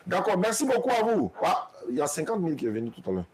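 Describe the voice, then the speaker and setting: A man, hosting as an imam, talking calmly on the phone and mixing in Arabic blessings. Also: high-pitched, fast, a loud crowd. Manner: lively, calm, mixes in Arabic blessings. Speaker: imam host. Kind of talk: phone conversation. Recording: noisy recording.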